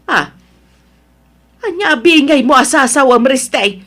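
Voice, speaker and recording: A woman's voice: sits high, expressive, unhurried, fairly bright tone, exaggerated voice. A woman playing a worried mother of grown children, questioning them emotionally in a radio melodrama. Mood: dismayed. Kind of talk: Ilocano family radio melodrama acting.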